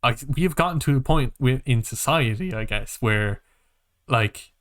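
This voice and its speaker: A man co-hosting a casual film and game podcast, talking in an animated, halting, rambling way with plenty of swearing. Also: medium pitch. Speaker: podcast co-host.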